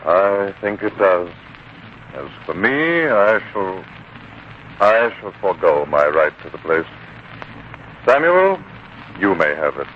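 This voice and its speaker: A man's voice: low voice, big pitch swings, slow pace, long pauses, full character voice. An actor playing a proud biblical man newly humbled, earnest and declamatory in 1940s radio style. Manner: theatrical, declamatory. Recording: tinny, noisy 1940s radio broadcast.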